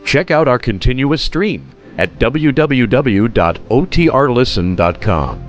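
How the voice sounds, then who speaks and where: A male announcer reads a scripted outro at a middle pitch. The intonation is lively and varied. The sound is somewhat dull, with faint music underneath. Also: unhurried. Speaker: announcer for radio channel.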